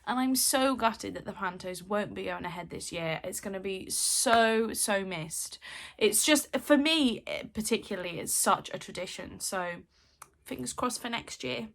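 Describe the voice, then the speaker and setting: Female host, mid-pitched, addressing the audience in an informal presenting style. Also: a little slow, gravelly, fairly bright tone. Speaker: show host.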